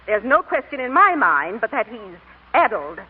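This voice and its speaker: A woman's voice: a little high, sing-song, moderate pace, breathy edge, in a large hall. A woman playing a prim, stern, easily offended small-town schoolteacher in an old radio drama, speaking formally and clipped. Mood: disapproving. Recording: thin, phone-like old radio recording.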